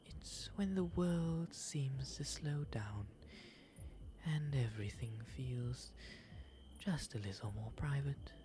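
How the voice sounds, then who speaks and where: A man voicing a refined, gentle owl demon gentleman in a soft, breathy ASMR roleplay, speaking with courteous, eloquent phrasing. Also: mid-range voice, expressive, a little hiss or hum. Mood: calm, reflective. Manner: genteel, eloquent, unhurried phrasing. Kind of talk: ASMR roleplay, intimate character acting.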